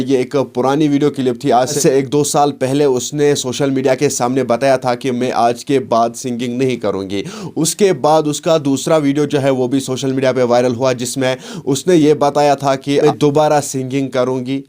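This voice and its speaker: A man's voice: medium pitch, fairly even intonation, brisk, a little mellow. Man presenting online news. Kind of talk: news update addressed to viewers.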